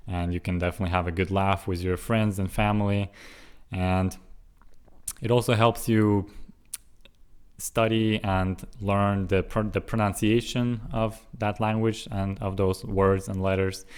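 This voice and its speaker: A young man from Switzerland, with English and Russian as his first languages, talks to the camera in a relaxed, rambling way. His voice sits on the low side, and he slows right down to sound out tricky foreign phrases before drifting back into easy chat. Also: smooth. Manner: careful and halting on hard foreign words, chatty and musing otherwise. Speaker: language-learning vlogger. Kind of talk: casual solo vlog.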